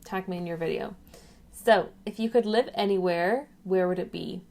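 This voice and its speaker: A woman's voice: mid-range voice, normal speed, darkish tone. A young woman chats casually to her viewers at home, rambling and drifting off topic as she talks into a webcam. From England, raised in Ireland. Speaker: YouTuber. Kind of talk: casual chatty vlog.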